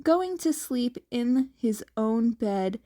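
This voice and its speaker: Woman, fan fiction narrator, higher-pitched, unhurried, mellow, occasional stumbles. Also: animated. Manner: stumbles and repeats words. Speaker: podfic reader.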